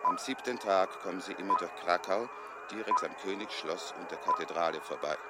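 An actor playing a confident schemer who explains a covert plan step by step in a German radio play. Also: male voice, low voice, brisk, natural voice. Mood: assured, businesslike. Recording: loud outdoor traffic-like noise.

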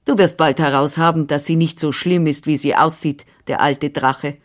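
A firm, managing older housekeeper who talks in brisk instructions, voiced by a woman reading a storybook. Her voice sits low and steady. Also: lightly acted. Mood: bossy.

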